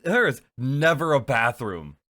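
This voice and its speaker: A man's voice: medium pitch, very animated, unhurried, pushed and bright. A young man with a stuffed-up nose hosting a casual chat, rambling with lots of fillers and giggles. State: stuffed up from allergies. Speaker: podcast host.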